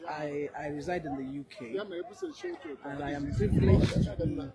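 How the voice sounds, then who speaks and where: A woman's voice: low-pitched, very animated, normal speed, creaky. A woman from Nigeria who leads a church group, answering interview questions with confidence and enthusiasm. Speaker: church leader. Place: large outdoor expo venue. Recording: loud crowd behind. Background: crowd noise.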